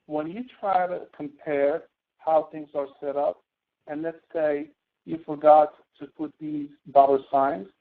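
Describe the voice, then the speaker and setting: Male tutorial instructor, slightly high-pitched, informal and halting, heard through narrow phone-like audio. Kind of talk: spreadsheet tutorial, live online lesson.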